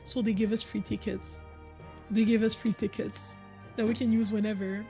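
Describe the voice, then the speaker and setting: A woman's voice: medium pitch, flat delivery, moderate pace, dark tone, soft background music. A young woman whose first language is French, chatting casually with her viewers on a live stream, full of "like" and repeated phrases. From Quebec, originally Cameroon.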